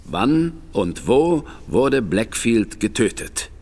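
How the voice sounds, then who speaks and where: A man's voice: mid-range voice, big pitch swings, slow, creaky, a little mellow, heavily acted. An actor playing a shrewd, composed male detective in a German audio drama, formal, courteous and inquisitive.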